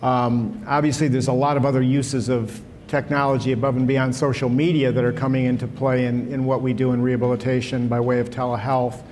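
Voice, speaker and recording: A man moderating a public panel in an auditorium who also speaks for a rehabilitation provider, measured and full of fillers. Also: medium pitch, flat delivery, darkish tone, a little hiss or hum. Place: auditorium stage.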